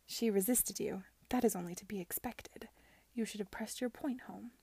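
A scornful young gentlewoman of a period storybook, as a woman reader plays her. She is moody, scheming and used to giving orders. The tone is dark and husky, recorded very dry, with a cutting disdain. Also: medium pitch, normal speed, no room sound. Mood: pressing. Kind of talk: audiobook character dialogue.

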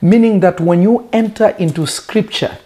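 Mellow-voiced male preacher, native Lingala speaker. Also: high voice. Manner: emphatic, deliberate, with repetition and rhetorical questions. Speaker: preacher teaching scripture. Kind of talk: conversational teaching sermon.

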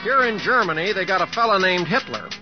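An actor playing a folksy, wry, kindly American humorist with a homespun drawl, in an old-time radio drama. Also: male voice, high-pitched, expressive, fast, loud music behind the voice. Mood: wry, orating. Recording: muffled old radio recording.

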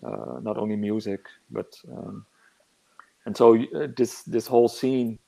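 Man, 40s, low gravelly delivery, slow, halting and reflective. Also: fairly even intonation, warm tone, low-quality recording. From northern Netherlands. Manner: halting, searching for words. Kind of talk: reflective interview answers.

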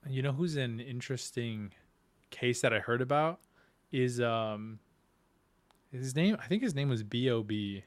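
A man's voice: medium pitch, lively intonation, measured pace, long pauses, dry recording. A man co-hosting a podcast, recounting something hesitantly and filling with "like" and "um". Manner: hesitant storytelling with many "like"s. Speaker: podcast co-host. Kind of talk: casual chat.

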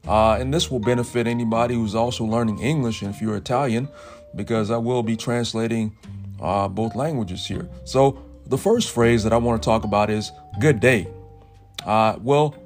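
A man hosting a podcast lesson, slowly saying everyday phrases and repeating each one twice. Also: mid-range voice. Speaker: podcast host teaching Italian phrases. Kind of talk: solo language lesson podcast.

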